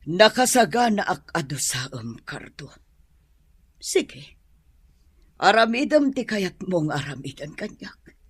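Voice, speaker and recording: Woman acting a slow, husky, long-suffering Filipino mother. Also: on the low side, big pitch swings, no room sound, full character voice. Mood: defensive, weary. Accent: Ilocano Filipino. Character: soft-hearted middle-aged mother defending her struggling daughter. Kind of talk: Ilocano audio drama acting.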